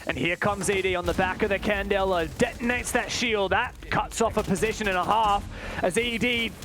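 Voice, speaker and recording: A man's voice: high voice, moderate pace, noisy background. A man commentating live on an esports match, mixing play-by-play with analysis.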